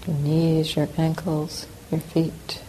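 A woman teaching a guided meditation, giving calm, unhurried instructions with long pauses between phrases. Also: sits low, poor audio. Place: meditation center hall. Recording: clear steady background noise.

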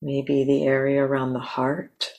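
Slow, low-voiced woman guiding meditation. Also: level tone, creaky. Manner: gentle, unhurried, with long pauses between short phrases. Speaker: meditation teacher. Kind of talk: guided meditation.

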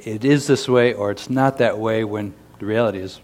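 A man who is a Buddhist monk, speaking on a discussion panel in a slow, thoughtful way. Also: fairly low pitch, darkish tone.

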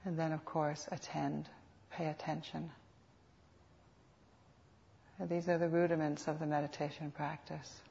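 Low, even-voiced woman guiding meditation. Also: gravelly, a little hiss or hum. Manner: unhurried, soothing, with reflective pauses. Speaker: meditation teacher. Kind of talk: guided meditation instruction. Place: meditation center. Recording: dull, lo-fi live talk recording.

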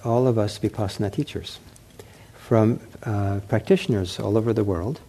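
A middle-aged man giving a dharma talk as a meditation teacher, gentle and unhurried, often pausing with fillers and restarting his phrases. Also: medium pitch, breathy edge. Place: meditation center hall.

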